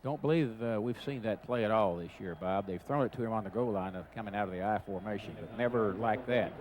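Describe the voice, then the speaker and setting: Fast low-voiced man, football color commentary. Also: a little mellow, low-quality recording. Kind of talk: football analysis between plays. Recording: radio broadcast with crowd noise. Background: stadium crowd.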